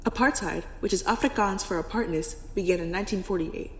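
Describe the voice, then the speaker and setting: A woman's voice: mid-range voice, fairly quick, slight background noise. A woman giving scripted educational narration, recorded in a reverberant room. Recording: echoey room with long reverb.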